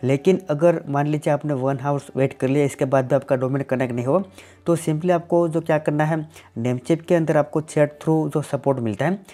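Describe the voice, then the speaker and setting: A man's voice: fairly high pitch, steady tone, normal speed, dark tone. Man answering viewer tech questions. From Gujarat, India. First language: Gujarati. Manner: plain explanatory, repeats "definitely" and "simply". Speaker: tech channel host.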